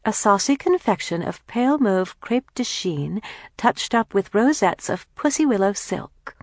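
Audiobook role: haughty, sarcastic teen older sister played by a woman, theatrical, lifted pitch, close dry sound. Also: lively intonation, measured pace, no room sound. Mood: grandly reading. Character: sarcastic, dramatic teenage girl aspiring singer, older sister. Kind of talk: acted character dialogue in audiobook.

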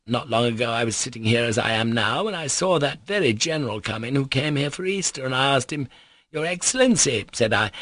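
Male reader as rambling mad mother, raised pitch, plaintive, aggrieved, self-pitying, audiobook character. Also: fairly bright tone, dry recording. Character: querulous, rambling, half-mad wife and mother.

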